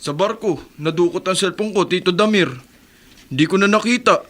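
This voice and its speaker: A polite young newcomer. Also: male voice, high-pitched, normal speed, fairly bright tone. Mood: earnest. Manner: polite, respectful, uses po. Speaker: radio drama character. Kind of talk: radio drama acting.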